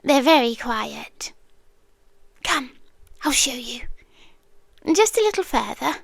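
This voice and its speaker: A British woman playing a possessive, centuries-old monster girl in an ASMR roleplay, softly coaxing and sweetly sinister, often dropping into a breathy whisper. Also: high-pitched, sing-song, no room sound, exaggerated voice. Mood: eerily soothing. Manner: slow, coaxing, eerily reassuring with dramatic pauses. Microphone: close ASMR microphone, dry sound.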